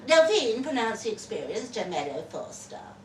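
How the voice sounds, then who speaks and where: A woman giving an expressive live reading of a story, with wry delivery and dramatic pauses. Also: on the high side. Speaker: reader of a short story at a festival. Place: live festival reading in an echoey room. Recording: echoey venue, far from mic, noisy.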